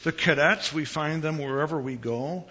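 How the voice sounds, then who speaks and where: A man from Chicago preaching as a visiting pastor in a church, with a big voice and an unhurried, conversational manner, pausing and repeating phrases for emphasis. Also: fairly high pitch, pushed and bright, highs cut off. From Chicago, United States. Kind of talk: conversational sermon. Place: church sanctuary.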